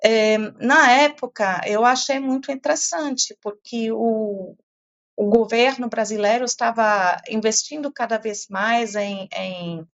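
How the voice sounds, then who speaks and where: A woman's voice: a little high, fairly even intonation, takes it slowly, muffled recording. A middle-aged German-born woman with a European Portuguese accent, a climate science professor answering interview questions thoughtfully, with many fillers and restarts.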